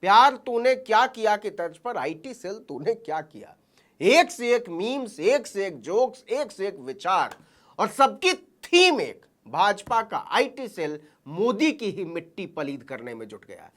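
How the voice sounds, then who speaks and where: A woman's voice: medium pitch, sing-song, a little slow. A woman commentator delivering satirical political commentary. Her voice sits in a middle register, but it swoops up and down a great deal. She sounds mocking and theatrical. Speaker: news commentator.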